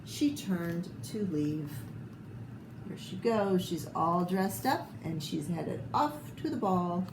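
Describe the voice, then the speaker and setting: A woman reading a children's picture book aloud with expressive, theatrical delivery and short asides about the pictures. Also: on the low side, takes it slowly. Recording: echoey room with clear background noise.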